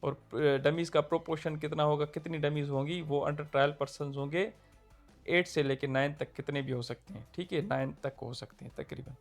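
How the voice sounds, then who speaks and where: A man with a Pakistani Urdu accent explaining legal procedure point by point, pausing with fillers. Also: a little high, creaky, faint music behind. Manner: steady, numbered points, frequent fillers. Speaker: law lecturer. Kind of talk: explanatory lecture.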